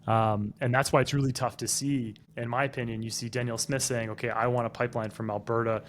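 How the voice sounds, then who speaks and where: A man's voice: mid-range voice, flat delivery, fast talker, slight background noise. A man co-hosting a casual podcast who gives opinions and explains things at length. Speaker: podcast co-host.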